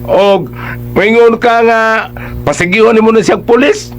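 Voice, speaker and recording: A man's voice: high voice, steady tone, measured pace, soft background music. A man with a Cebuano accent giving conversational, emphatic advice as a radio host.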